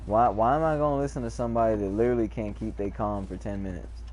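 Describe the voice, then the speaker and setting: Rambling, low-voiced man gamer. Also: quick pace, a little hiss or hum. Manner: rambling, repeats words, swears freely; calm, lecturing tone. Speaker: gamer chatting in party. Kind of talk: casual chat while playing.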